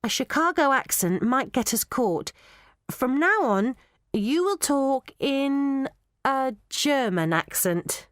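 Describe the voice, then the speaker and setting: Storybook lead: young girl, voiced by a woman, high voice, polite yet bossy, sharp-witted, firm. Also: expressive, slow pace, smooth, no echo, clean studio sound, full character voice. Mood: commanding.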